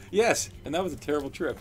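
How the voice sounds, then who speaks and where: Joking male streamer. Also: on the high side. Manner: joking, playful, loose banter. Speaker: stream co-host. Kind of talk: casual livestream chat.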